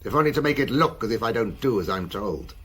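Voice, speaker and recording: Low, husky, cynical German admiral. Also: male voice, expressive, fast talker, a bit bright. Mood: wry, weary. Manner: sardonic, confiding, worldly. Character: weary, cynical German admiral, wry senior spymaster. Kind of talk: radio drama acting.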